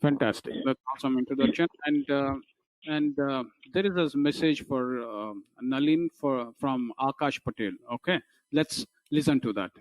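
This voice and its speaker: A man's voice: mid-range voice, moderate pace, no room sound, dull audio. A man hosting a casual online chat, asking loose, rambling questions. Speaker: host.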